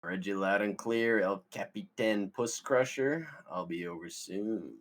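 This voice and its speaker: A man's voice: lowish voice, a little slow, lightly acted. A man playing a brash, crude teenage slacker in a casual comedy script read. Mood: brash, rowdy. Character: brash, crude seventeen-year-old slacker buddy. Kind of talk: script table read, casual comic acting.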